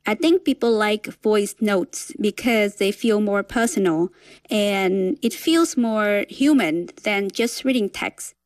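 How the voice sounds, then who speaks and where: A woman from Vietnam speaks slowly and deliberately, each phrase placed with care. The recording is dry and close to the mic. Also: medium pitch, no echo. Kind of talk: voice note.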